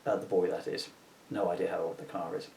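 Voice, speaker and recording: A man's voice: low-pitched, flat delivery, fast talker, creaky, darkish tone. A man giving a comic scripted reading with wry, self-mocking asides. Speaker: author reading his own script. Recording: roomy echo, distant mic.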